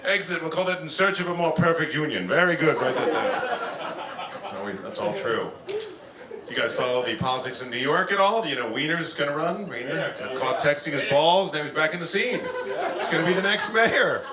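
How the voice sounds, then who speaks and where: Animated male stand-up comic in a roomy club. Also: a little high, normal speed, far from the mic, over a noisy crowd. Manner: rambling, acts out mock voices in bits. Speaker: stand-up comedian. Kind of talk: stand-up comedy to a live audience. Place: comedy club stage. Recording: roomy club with audience behind. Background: live audience.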